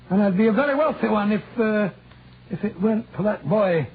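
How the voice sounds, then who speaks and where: An actor playing an earnest middle-aged gentleman posing as a Scotsman, hesitant and stammering, in 1940s radio mystery style. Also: high-pitched, breathy edge, heavily acted. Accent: put-on Scottish. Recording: tinny old 1940s radio recording.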